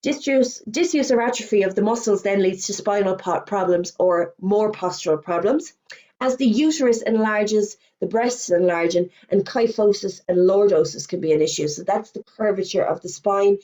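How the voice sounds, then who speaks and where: A middle-aged woman with an Irish accent, a fitness instructor giving a webinar presentation, chatty, with quick asides and self-corrections, her voice going hoarse as she talks. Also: mid-range voice, warm tone. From Ireland. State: losing her voice.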